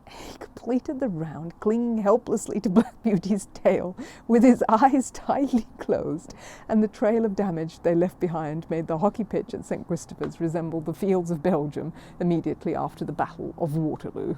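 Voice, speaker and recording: A woman with a fairly low, soft-edged mellow voice narrates a children's book. She reads expressively, with amusement in the telling. There is some faint noise behind her. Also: normal speed. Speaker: storyteller reading a children's book aloud.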